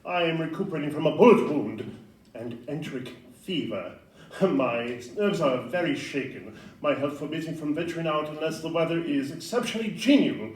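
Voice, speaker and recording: Roomy, distant stage recording. Male actor as convalescent Victorian doctor: courteous, sardonic, nervy, slightly high voice. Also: lively intonation, moderate pace, lightly acted. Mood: courteous, weary. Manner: formal, courteous, wordy phrasing. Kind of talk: stage audio-play acting. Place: live performance in a club room.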